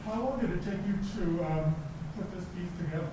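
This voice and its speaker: A man's voice: sits high, a little fast. A man in an audience casually asking questions, far from the microphone. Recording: very echoey, distant, noisy room recording.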